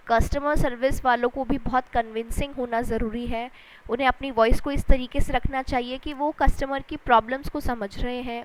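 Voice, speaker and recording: A woman's voice: fairly high pitch, rough recording. A woman with an Indian accent speaking as a lecturer, explaining in a didactic way, rephrasing points and stressing key words. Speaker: English lecturer. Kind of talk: explanatory lesson switching between Hindi and English.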